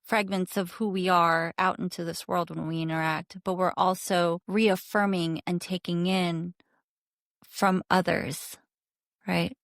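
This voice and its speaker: A woman co-hosting a podcast, explaining ideas in a measured academic way and asking probing questions. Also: lowish voice, flat delivery, a bit bright, dry recording, studio quality. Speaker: podcast co-host. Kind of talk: academic interview conversation.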